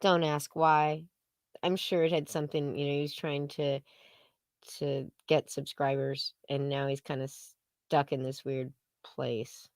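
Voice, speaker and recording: A woman's voice: sits low, little pitch movement, measured pace, a little mellow, no room sound, clean studio sound. A woman co-hosting a livestream, talking casually and opinionatedly in an informal, matter-of-fact way. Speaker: co-host of a livestream.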